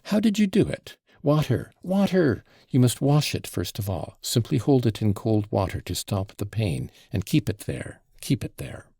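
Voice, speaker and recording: A capricious invalid girl snaps out orders and teases in a raised, lively voice, as a male audiobook reader puts her on. The sound is gravelly and husky, close and dry. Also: medium pitch, moderate pace, hardly any pauses, dry recording, voice pushed up, heavily acted. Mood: imperious. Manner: sharp, bossy and mocking.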